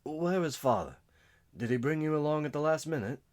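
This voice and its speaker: A man voicing a wounded young nobleman who is confused and distraught, his voice hoarse and weak. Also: mid-range voice, huge pitch swings, measured pace, a little mellow, voice pushed up.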